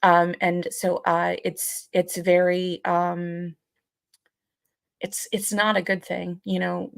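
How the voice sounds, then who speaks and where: A woman co-hosting a casual podcast, talking hesitantly with halting phrases and frequent pauses. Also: lowish voice, little pitch movement, creaky, dry recording, clean studio sound. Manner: hesitant, halting, many pauses and "you know". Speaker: podcast co-host.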